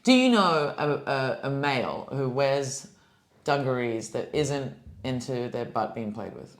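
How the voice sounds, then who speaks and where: Low-voiced woman podcast host, lively. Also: measured pace. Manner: rambling, lots of fillers and restarts. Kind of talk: casual chat.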